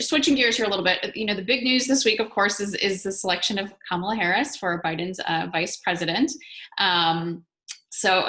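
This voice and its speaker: A woman's voice: fairly low pitch, sharp tone. An American woman hosting a conversational interview, asking informal, loosely phrased questions. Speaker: interviewer, event host.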